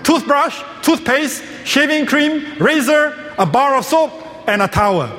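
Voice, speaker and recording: A man's voice: very high-pitched, expressive, a little slow, a bit bright, faint music behind. A man giving a joke-filled lecture in a large hall, asking questions and answering them himself. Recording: long hall reverb.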